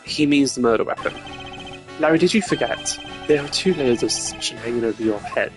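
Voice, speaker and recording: A man voicing a composed, haughty video game prosecutor who reasons in a formal, measured, exasperated way over music. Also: mid-range voice, lightly acted. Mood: explanatory. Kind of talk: video game character dub. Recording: music under the voice.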